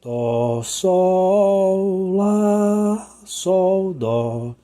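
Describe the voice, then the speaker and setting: A hoarse man teaching musical intervals, singing note names to demonstrate them and checking in often with short questions. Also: sits high, animated, drawn-out words, warm tone. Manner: sings interval notes to show them. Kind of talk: sung and spoken music lesson.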